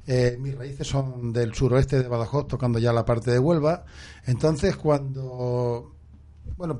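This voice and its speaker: A man from Catalonia, a poet guest on a radio magazine, answering in an earnest, measured way with soft hesitations. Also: mid-range voice. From Catalonia, Spain. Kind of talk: poetry recitation and interview answers.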